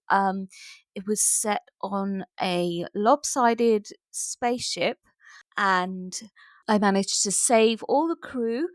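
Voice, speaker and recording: A woman's voice: medium pitch, dry recording, studio quality, natural voice. A woman playing an earnest, wistful B-movie actress reminiscing hesitantly, as in a mockumentary interview.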